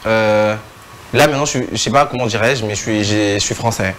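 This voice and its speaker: A man's voice: fairly low pitch, a little fast, fairly bright tone, a little hiss or hum. A man born in Kinshasa and holding French nationality, with a Congolese French accent, answering casual interview questions hesitantly and mixing in Lingala. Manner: hesitant, many fillers and restarts, mixing in Lingala. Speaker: guest, TikTok creator. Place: indoor studio set.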